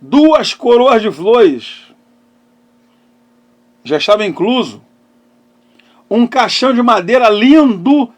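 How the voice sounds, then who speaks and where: High-pitched middle-aged man preaching. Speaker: pastor and therapist hosting a live talk. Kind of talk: preaching, personal testimony.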